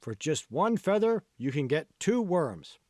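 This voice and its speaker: A wheedling street merchant voiced by a man hawks and coaxes ingratiatingly. The pitch is raised and lively, in a storybook fable style. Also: a little slow, dry recording, clean studio sound. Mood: coaxing. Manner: sing-song hawking, ingratiating. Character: coaxing, wheedling street merchant selling worms.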